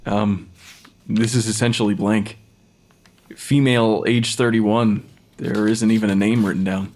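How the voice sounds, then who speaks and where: An actor playing a nervous young forensic pathologist, hesitant, apologetic and easily flustered, in an audio drama. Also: male voice, on the low side, level tone, unhurried, darkish tone. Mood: awkward, uneasy. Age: young adult.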